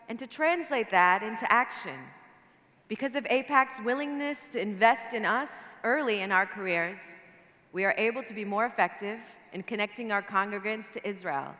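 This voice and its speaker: A rabbi whose first language is Farsi delivers a prepared conference speech in a measured, earnest way. Her voice sits somewhat high for a woman. Each phrase rings out in the long reverb of a large hall. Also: a bit bright.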